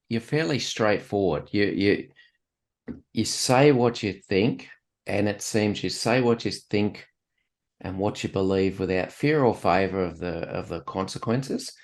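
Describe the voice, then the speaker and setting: A man hosting an interview podcast, upbeat with brisk questions. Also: on the low side. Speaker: podcast host.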